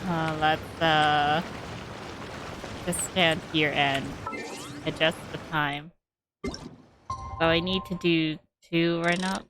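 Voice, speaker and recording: A woman giving casual live game commentary, halting as she thinks aloud. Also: deep voice, steady tone, music in the background. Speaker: game streamer.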